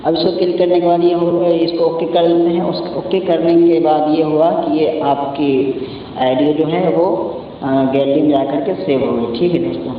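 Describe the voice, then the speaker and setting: Male tutorial host, casual step-by-step delivery, slightly high voice, muffled recording with clear background noise. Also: fairly even intonation, moderate pace. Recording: echoey, muffled, distant mic, noisy background.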